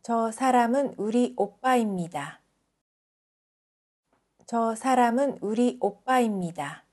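A female language teacher reads scripted example sentences in a fairly high, mellow voice. She enunciates each one carefully and takes her time. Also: expressive, slow pace. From South Korea.

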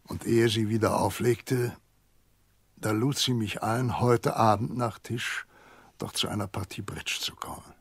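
An actor playing a grave, kindly elderly family doctor in a radio play, speaking in a measured, husky voice. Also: male voice, lowish voice, steady tone, no echo. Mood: grave, insistent. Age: older. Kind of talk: German radio play acting.

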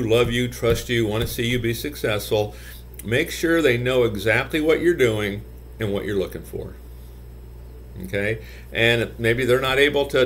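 Older man giving casual business advice. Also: mid-range voice. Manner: conversational, many "you know" fillers, gestures with hands. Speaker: gym business consultant. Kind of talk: talking-head business advice video. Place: office with shelves, indoors.

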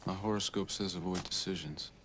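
An actor playing an easygoing young American man with an offhand, relaxed way of talking. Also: low voice, a little fast, background noise, close to an everyday voice. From Hartford, Connecticut. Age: young adult. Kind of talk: film drama dialogue.

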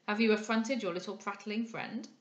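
A woman voicing a witty society gentleman in an arch, mock-grave, formal manner for a period audiobook. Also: medium pitch, moderate pace, echoey room, dull audio, close to an everyday voice. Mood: teasing. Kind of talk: dramatised audiobook reading of a period novel.

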